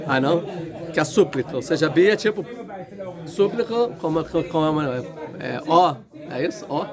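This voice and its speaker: A man's voice: fairly high pitch, animated, normal speed. Man teaching a chatty class. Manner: thinking aloud, with many restarts and questions to the class. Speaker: Torah teacher. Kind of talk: casual classroom lesson. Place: large indoor room. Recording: room with crowd noise. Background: students in the room.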